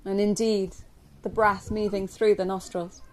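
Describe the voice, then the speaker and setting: A woman from England leading a guided yoga nidra meditation for a group, speaking gently and slowly with long pauses. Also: mid-range voice, low-quality recording. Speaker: yoga nidra teacher guiding a relaxation. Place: live group session at a camp. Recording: steady ambient noise, live recording.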